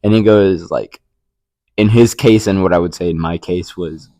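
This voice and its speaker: A man's voice: deep voice, moderate pace, dark tone, no echo. A young man from Rico, sharing his faith story in a rambling casual chat full of fillers and restarts. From Puerto Rico. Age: young adult. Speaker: podcast co-host.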